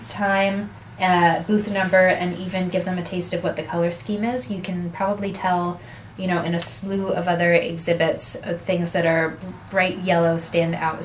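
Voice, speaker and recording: A young woman leads a workshop in a small conference room, her voice low and fairly flat in pitch. She explains at a steady pace while gesturing with her hands. A faint hiss sits under the camera sound. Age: young adult. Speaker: workshop presenter. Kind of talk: seminar talk.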